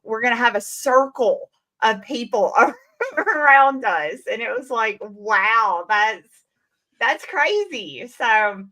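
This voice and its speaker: Woman, Southern accent, young adult, casual chat, chatty, bright tone. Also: on the high side, animated, slow pace. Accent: Southern American. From American South. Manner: chatty, laughs often. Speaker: comic creator guest. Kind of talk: casual conversation.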